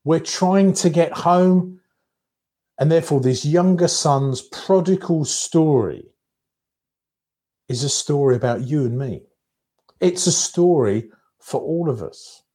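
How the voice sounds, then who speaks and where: A man giving a reflective sermon in a measured, contemplative way, breaking his thoughts into short phrases. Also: a little high, warm tone. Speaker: preacher.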